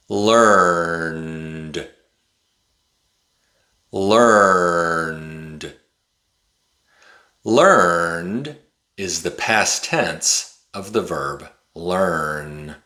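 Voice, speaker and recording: A man in his forties giving an English pronunciation lesson to camera, enunciating deliberately and carefully like a teacher. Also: low voice, sharp tone. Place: small indoor room with bookshelf.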